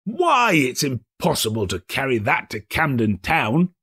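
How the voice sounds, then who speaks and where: A man's voice: medium pitch, very animated, a bit bright, husky, pitched above the performer's own voice, exaggerated voice. A man voicing an elderly reformed miser for an audiobook, giddy and gushing with delight, drawing words out in exclamations. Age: older. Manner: exclamatory, drawn-out, gushing delivery. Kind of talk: dramatised audiobook character voice.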